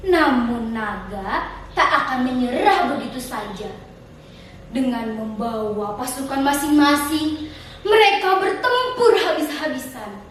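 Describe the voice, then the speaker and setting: A teenage young woman with a Central Kalimantan Indonesian accent, telling a folktale theatrically in a dramatic, declamatory contest style. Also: high voice. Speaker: storytelling contestant. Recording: distant echoey room with faint noise.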